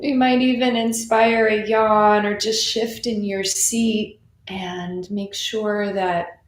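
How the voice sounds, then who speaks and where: A woman leading an unscripted guided meditation in a soothing, unhurried manner with long pauses. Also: medium pitch. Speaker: meditation teacher. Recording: roomy echo, faint background noise.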